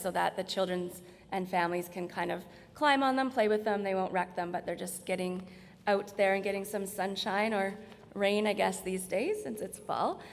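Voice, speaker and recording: A woman with a slightly hoarse voice gives an informal, explanatory slide presentation in a large room. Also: on the low side. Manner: explanatory, conversational. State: slightly hoarse, losing her voice. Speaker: presenter from hospital staff. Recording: reverberant hall.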